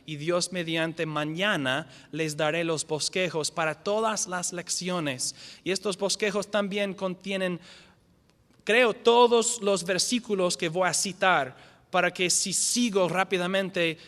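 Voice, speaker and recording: High-voiced non-native pastor in a reverberant hall. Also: male voice, fairly bright tone. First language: not the language spoken. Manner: earnest, restarts words, repeats key definitions slowly. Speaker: pastor teaching a lesson series. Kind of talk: church lecture. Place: church hall.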